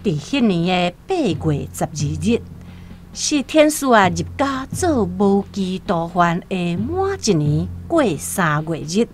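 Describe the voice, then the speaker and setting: A woman tells a scripted radio story in a Taiwanese accent. Her delivery is slow and deliberate, with dramatic, wide swings in pitch. Music plays clearly behind her. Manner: expressive, deliberate. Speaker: narrator.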